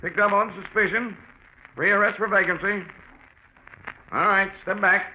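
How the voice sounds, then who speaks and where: Police lineup caller, clipped official reading, high voice, muffled old radio. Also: male voice, fairly even intonation, measured pace. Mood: official, impersonal. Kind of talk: 1940s radio acting. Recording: muffled, hissy 1940s radio recording.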